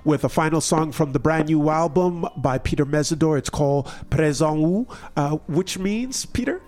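A man's voice: a little high, normal speed, a little mellow. Upbeat male radio host. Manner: upbeat, affirming. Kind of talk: radio interview hosting.

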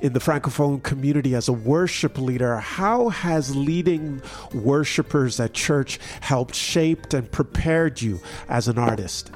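A man's voice: mid-range voice, measured pace, few pauses, a little mellow, faint music behind. A man hosting a radio interview, upbeat and affirming. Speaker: radio host.

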